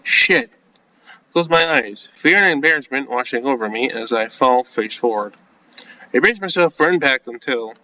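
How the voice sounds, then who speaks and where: A man's voice: mid-range voice, pushed and bright, poor audio. A man reading a visual novel game script aloud, mixing in informal asides and casual commentary as a let's-player. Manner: informal, reacts with asides between read lines.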